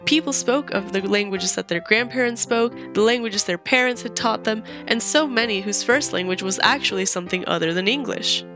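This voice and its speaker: A young woman, a student host, reading a scripted narration in a clear, steady way. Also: medium pitch, brisk, few pauses. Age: young adult. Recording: voice-over with music behind.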